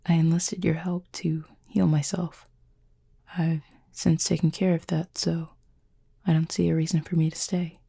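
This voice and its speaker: A woman playing an injured fallen cupid in an intimate roleplay audio, soft and breathy, with a formal, measured, dryly aloof but curious manner. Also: deep voice, flat delivery, heavily acted. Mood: guarded, formal. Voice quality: soft, breathy, husky with fry. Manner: measured, formal, wordy phrasing.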